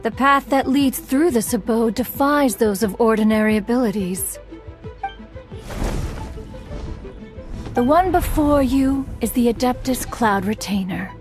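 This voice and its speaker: A woman's voice: on the high side, close to an everyday voice. A woman playing a proud, aloof, ancient immortal hermit in a video game, speaking in formal, archaic phrases. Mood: regal, composed. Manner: haughty, formal, archaic, measured. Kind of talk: theatrical video game voice acting.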